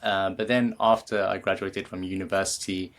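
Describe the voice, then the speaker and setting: A man's voice: low voice, flat delivery, moderate pace. A man in his thirties with a British accent, a guest in a casual interview who talks with many fillers and restarts. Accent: British English. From England. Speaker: guest, creativity coach.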